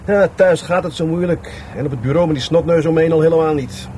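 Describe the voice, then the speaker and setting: An actor playing a stubborn middle-aged police adjutant in a radio play, talking in a colloquial, matter-of-fact way. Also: male voice, a little high, brisk, dark tone, a little hiss or hum, close to an everyday voice. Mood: reasoning aloud. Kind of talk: Dutch radio play acting.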